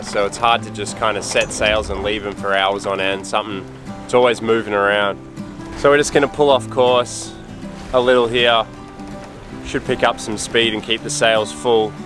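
A man in his forties, a sailing dad, talking to an action camera out on deck at sea and explaining each step plainly. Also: lowish voice. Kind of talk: casual vlog narration to camera. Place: outdoors on a sailboat at sea. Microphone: action camera at arm's length. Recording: music bed under voice.

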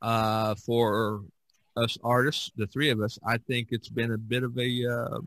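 A man hosting a casual podcast chat, reminiscing in a halting, rambling way and often repeating his words. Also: medium pitch, little pitch movement, fairly bright tone, dry recording. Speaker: podcast host.

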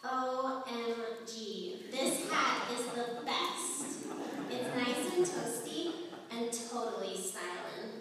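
A woman voicing an earnest, precocious little child in a live puppet show, with a deliberate, cheeky know-it-all manner. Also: a little high, animated, over a noisy crowd. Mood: delighted. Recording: echoey hall from far off, faint noise.

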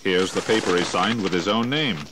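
An actor playing a plain-spoken, matter-of-fact colonial soldier in a historical audio drama. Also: male voice, low voice, fairly quick, close to an everyday voice. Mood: reassuring. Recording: distant mic, noisy old recording.

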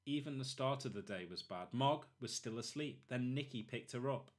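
A man narrating a children's storybook expressively, in short, deliberate phrases. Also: medium pitch, animated. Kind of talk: expressive children's read-aloud.

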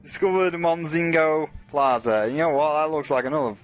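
A man's voice: a little high, moderate pace, faint music behind. A man hosting a let's play, rambling casually and swearing as he talks through the game and to his chat. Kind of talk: casual gameplay commentary.

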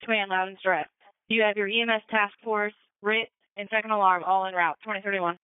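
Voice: mid-range voice, fairly even intonation, brisk, clear, resonant tone, dry recording. An emergency dispatcher relaying information in procedural radio style. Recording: tinny, narrow-band dispatch radio.

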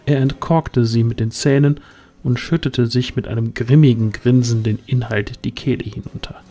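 A man's voice: mid-range voice, steady tone, normal speed, mellow. A man giving scripted narration for a fantasy audio drama, as its storyteller. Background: music.

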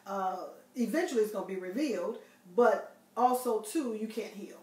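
A woman's voice: mid-range voice, animated, normal speed, a little mellow, far from the mic. A middle-aged woman pastor teaching in casual chat, affirming, with a preacher's cadence. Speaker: pastor and author. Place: living room, indoors. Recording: roomy echo, slightly off-mic.

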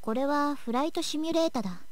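A woman's voice: sits high, lively intonation, rapid-fire, dry recording. An actress playing a composed, matter-of-fact senior schoolgirl who instructs in a visual novel game. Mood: calm, instructive. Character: composed, businesslike anime senior schoolgirl, glider builder. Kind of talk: Japanese visual novel voice acting. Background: game music.

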